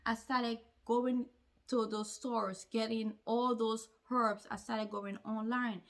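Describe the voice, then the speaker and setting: A woman with a fairly high voice speaks as a hair-care vlogger. She tells her own story earnestly, stressing points and repeating phrases for emphasis. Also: little pitch movement, slow. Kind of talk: personal story told to camera.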